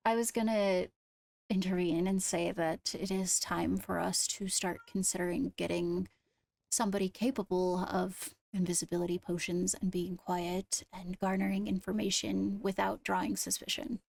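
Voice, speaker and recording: A woman speaking in a measured, deliberate way with formal wording, improvising in-character roleplay dialogue. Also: lowish voice, flat delivery, vocal fry, darkish tone. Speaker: roleplayer in a Minecraft court drama.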